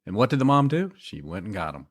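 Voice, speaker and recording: A man's voice: lowish voice, sing-song, fast talker. A man co-hosting a true crime podcast, cracking jokes and giving easygoing replies. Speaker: podcast co-host. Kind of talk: casual podcast banter.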